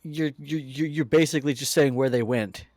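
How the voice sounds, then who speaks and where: A man's voice: mid-range voice, moderate pace, a little mellow, dry recording, studio quality. Rambling American man chatting. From United States. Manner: rambling, frequent fillers and restarts. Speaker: podcast co-host. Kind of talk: casual podcast chat.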